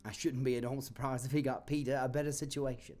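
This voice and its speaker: Humble clerk father, tender and soft-spoken, a man voicing him. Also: medium pitch, steady tone, fast talker, voice pushed up, natural voice. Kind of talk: audio drama acting.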